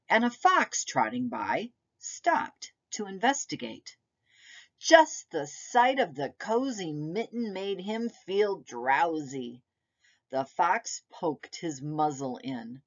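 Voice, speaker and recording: A woman with a fairly low voice reads a storybook aloud for young children. Her phrasing is deliberate and unhurried, and her pitch moves up and down expressively as she tells the tale. The recording is a little dull and lo-fi. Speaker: preschool teacher reading a picture book aloud.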